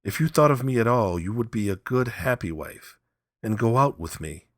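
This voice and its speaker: A man voicing a proud, hot-tempered, scheming husband in a storybook, forceful and demanding. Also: mid-range voice, expressive, moderate pace, dry recording, studio quality, voice pushed up, close to an everyday voice. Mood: angry.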